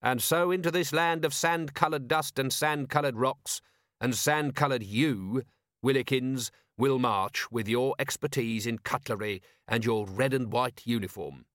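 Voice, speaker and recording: A man's voice: mid-range voice, measured pace, fairly bright tone, dry recording, clean studio sound, close to an everyday voice. Man playing a sardonic, weary watch commander. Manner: sardonic, clipped. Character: wry, weary male city watch commander.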